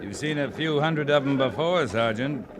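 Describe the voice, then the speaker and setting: An actor playing a seasoned, gruff, dry cavalry captain in an old-time radio western. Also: male voice, medium pitch, lively intonation, moderate pace, close to an everyday voice. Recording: noisy old radio drama recording.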